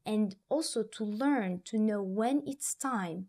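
A woman's voice: medium pitch, takes it slowly, dark tone. Upbeat young woman hosting an interview. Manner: upbeat and encouraging. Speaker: exam prep host. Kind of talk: video interview and intro. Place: small room indoors. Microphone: desk microphone in frame.

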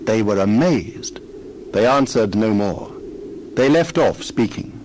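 A man narrating a dramatized scripture reading in a measured, formal storytelling manner. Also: lowish voice, animated, a little mellow, slight background noise.

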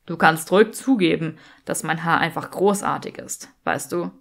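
A woman's voice: low-pitched, normal speed, vocal fry, pitched below the performer's own voice. A woman voicing a vain, teasing young male wizard in a fantasy audiobook. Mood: smug, teasing.

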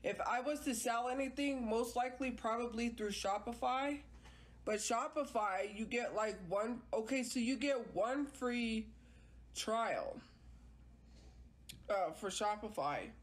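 A woman's voice: a little high, measured pace. A woman streaming live from home with a congested nose, chatting in a rambling, hesitant way with many restarts. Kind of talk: casual livestream chat. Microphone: phone.

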